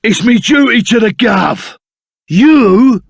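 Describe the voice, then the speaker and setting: An actor playing a rough, loyal, excitable henchman in a video game, with a gravelly voice, a Cockney accent and blunt, chummy, slangy talk. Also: extremely high pitch, slow pace, no echo, studio quality, exaggerated voice. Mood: gruff, indignant. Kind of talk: video game character voice acting.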